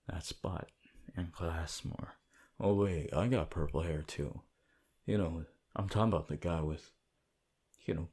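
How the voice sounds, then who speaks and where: A man's voice: low-pitched, dark tone, husky, heavily acted. A man acting a sleepy, laid-back teenage hero student in an ASMR roleplay, murmuring intimately and gratefully with sighs to the listener. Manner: drowsy, sighing, intimate murmur.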